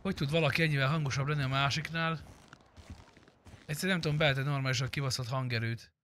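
A man's voice: mid-range voice, steady tone, a bit bright. A man in casual gaming chat, talking bluntly and sarcastically and swearing freely. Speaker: gamer streaming a roleplay game.

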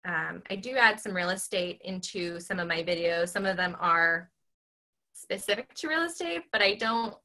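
A woman in her thirties, a real estate agent, chatting on a video call from home in a squeaky, animated voice full of ums. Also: moderate pace, rough recording. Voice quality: squeaky, high-pitched voice. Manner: animated, talks with her hands, many ums. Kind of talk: casual video call chat. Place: small bedroom at home, indoors. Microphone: laptop webcam on video call.

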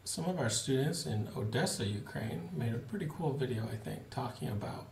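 A man in his forties giving a relaxed, plain-spoken video update to supporters from a small home office, with frequent fillers. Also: medium pitch, a little fast, a little hiss or hum. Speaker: missionary giving a family update. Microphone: webcam at desk. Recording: roomy webcam audio with faint ambience.

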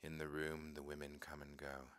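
Very deep-voiced man reciting poetry. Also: completely flat delivery, moderate pace, a bit bright. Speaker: poetry reader.

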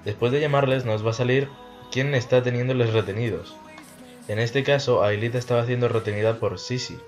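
A man's voice: mid-range voice, fairly even intonation, brisk. A young man with an accent from Spain, presenting a casual video game tutorial as host over background music.

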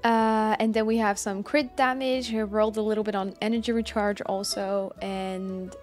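A woman's voice: mid-range voice, steady tone, normal speed. A woman in her twenties, a game streamer, chatting casually while she plays. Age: 20s. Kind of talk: casual live chat while gaming. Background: game music.

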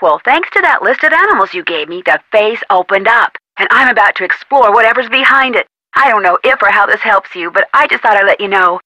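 A woman's voice: mid-range voice, lively intonation, fairly quick, hardly any pauses, bright, forceful tone, dry recording, low-quality recording. A young woman with an American accent playing a friendly, inquisitive amateur detective in an adventure game, chatty and polite. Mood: earnest, informative. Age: young adult. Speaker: video game character voice actor. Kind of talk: scripted adventure game dialogue, phone-call delivery.

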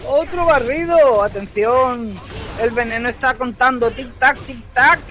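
A man doing fast, excitable play-by-play commentary on a fighting game, joking and shouting at big moments. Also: very high-pitched. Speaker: esports commentator.

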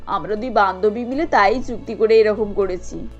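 A woman's voice: mid-range voice, moderate pace, lo-fi sound, soft background music, close to an everyday voice. A woman voicing a bossy but fond middle-aged mother-in-law in a cartoon, blunt and matronly. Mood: chiding. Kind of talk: Bengali cartoon family drama acting.

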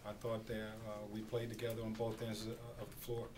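A middle-aged man, a basketball head coach, answering questions at a postgame press conference in a measured, halting way with many fillers. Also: on the low side, monotone. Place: small press room at a podium. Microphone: podium microphone on a stand. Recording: distant camera audio, noisy room.

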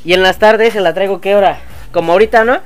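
A man's voice: high voice, fast, clear, resonant tone. Man in his thirties, Mexican accent, slangy and chatty, outdoor yard, action camera, faint music behind. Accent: Mexican Spanish. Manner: chatty, slangy, addresses viewers directly. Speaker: motovlog host. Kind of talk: casual vlog chat.

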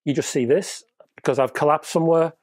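A man's voice: a little high, steady tone, brisk, darkish tone, no echo. Stuffy-nosed man in his fifties, casual wry reviewer, dry close mic. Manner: chatty, wry, emphatic, leans on key words. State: stuffy nose, wheezy from a cold. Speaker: hi-fi reviewer, channel host. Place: home room lined with record shelves. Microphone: lapel mic.

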